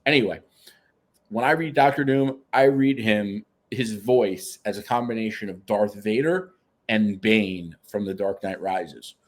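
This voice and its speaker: Excited young man, low voice. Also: a little slow. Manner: excited fan talk, eager and emphatic. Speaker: podcast co-host. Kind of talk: casual podcast chat.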